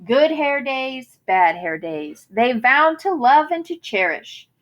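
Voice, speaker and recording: A woman's voice: high-pitched, sing-song, slow pace, highs cut off. A woman reading a children's picture book aloud in a theatrical manner, savoring the rhymes and wordplay with dramatic emphasis. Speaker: storybook reader.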